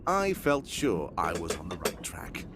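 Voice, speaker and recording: A man's voice: sits low, wildly swooping pitch, unhurried, heavily acted. A man playing a brilliant, self-assured, commanding male detective in a live radio drama, theatrical and pompous in delivery. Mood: self-satisfied explaining. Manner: theatrical, bossy, pompous monologuing. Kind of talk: live cold-read recreation of 1940s radio drama. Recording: live stage recording with clear background noise.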